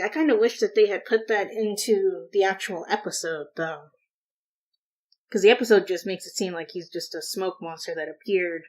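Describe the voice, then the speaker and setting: A woman's voice: fairly low pitch, poor audio. A woman hosting a reaction video, talking casually and hesitantly as she thinks aloud. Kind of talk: casual unscripted commentary.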